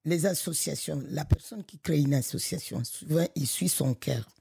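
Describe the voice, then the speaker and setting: A middle-aged woman from Congo, director of a local association, speaking in an animated, emphatic way with frequent restarts. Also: sits low, normal speed, gravelly, husky, dry recording, studio quality. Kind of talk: conversational podcast interview.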